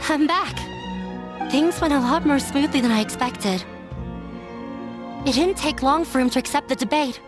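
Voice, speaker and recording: A woman's voice: sits high, moderate pace, long pauses, over music, lightly acted. A woman playing a polite, hopeful young woman in a video game dub. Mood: relieved.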